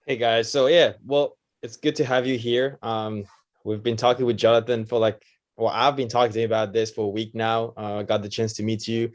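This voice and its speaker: A man's voice: medium pitch, little pitch movement, brisk. A man co-hosting a podcast who asks questions hesitantly, with many "um" and "like" fillers and restarts. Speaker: podcast co-host, interviewer. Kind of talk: podcast interview.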